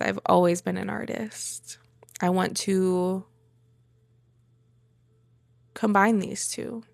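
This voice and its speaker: A woman giving a reflective personal monologue in halting phrases, with pauses and emphatic repetition. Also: on the low side, creaky, no room sound, clean studio sound. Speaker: vlogger sharing a personal journey.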